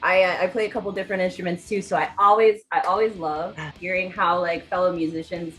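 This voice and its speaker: A woman's voice: lowish voice, fairly even intonation, fast talker, fairly bright tone, faint music behind. A young adult woman interviewing a band in a casual, upbeat way, with lots of "like" and "awesome". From United States. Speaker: interviewer, rock news correspondent. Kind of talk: casual interview over a video call.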